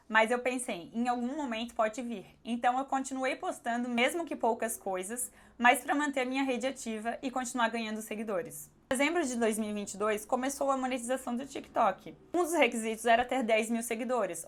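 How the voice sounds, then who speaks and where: A hoarse woman with a Brazilian accent, talking candidly and conversationally as a YouTuber answering viewer questions. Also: a little high. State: hoarse, recovering her voice. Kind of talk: casual question-and-answer vlog.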